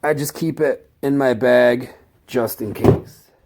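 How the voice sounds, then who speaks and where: A man with a stuffed-up cold, casually showing off his work tools in a slow, halting, enthusiastic way. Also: medium pitch, gravelly, noisy background. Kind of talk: casual tool walkthrough.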